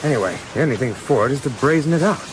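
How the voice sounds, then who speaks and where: An actor playing an observant, composed gentleman adventurer in a radio play, speaking in a measured, articulate, understated way. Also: medium pitch, expressive, background noise, natural voice. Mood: wry, conspiratorial. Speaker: lead actor narrating and playing a part. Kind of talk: radio play narration and dialogue.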